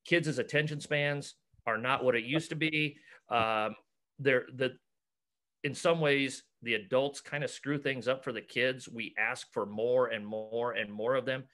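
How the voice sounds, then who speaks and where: Bright-voiced middle-aged American coach. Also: male voice, medium pitch, fairly even intonation, measured pace. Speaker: basketball coach and clinic organizer. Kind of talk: casual video-call interview. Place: cluttered room at home, on a video call. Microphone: video call.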